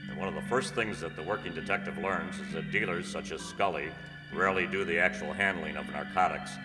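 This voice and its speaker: Man playing a low-voiced deadpan detective in an echoey hall. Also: a little fast, lightly acted. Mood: deadpan, methodical. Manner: deadpan, matter-of-fact. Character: terse undercover police detective narrating his own case. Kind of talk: clipped radio crime drama narration and dialogue. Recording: echoey hall, loud music behind.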